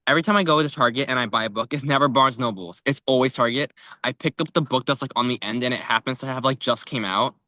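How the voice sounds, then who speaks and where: A man with a bright, clear-edged voice co-hosts a book podcast. He talks casually and informally, feeling his way through phrases with plenty of "like". The sound is close and dry, at an average male pitch. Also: fast talker, few pauses, no echo. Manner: informal, tentative phrasing with frequent "like". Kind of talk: casual chat about books.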